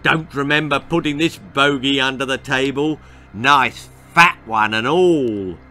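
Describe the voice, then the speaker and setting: A man voicing a huge, ugly, greedy giant's son in a children's storybook, gloating with a slow, gleeful drawl. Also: medium pitch, bright, forceful tone, faint music behind.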